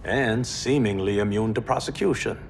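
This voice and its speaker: An actor playing a frank, wise older business advisor in a video game, speaking in a wry, counselling way. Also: male voice, on the low side, moderate pace, creaky, slight background noise. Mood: concerned.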